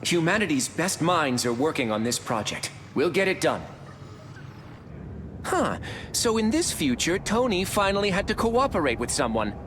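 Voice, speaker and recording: A brainy scientist hero in a game. His voice sits fairly high and conversational, with clear background noise and ambience. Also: very animated, normal speed, fairly bright tone. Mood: earnest. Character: earnest, brainy adult male scientist hero. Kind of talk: video game character voice lines. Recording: noisy game ambience behind.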